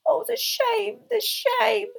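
A woman voicing a small, indignant female house elf servant for a storybook, squeaky and shrill, speaking in servile broken grammar. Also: fairly even intonation, slow, gravelly, exaggerated voice. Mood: wailing, distraught. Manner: wailing, broken servile grammar, third-person speech.

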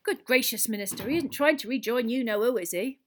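A forthright pub landlady performed by a woman storyteller in a storybook. She is nosy and gossipy, with lively, higher-pitched speech. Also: brisk, close to an everyday voice. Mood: alarmed.

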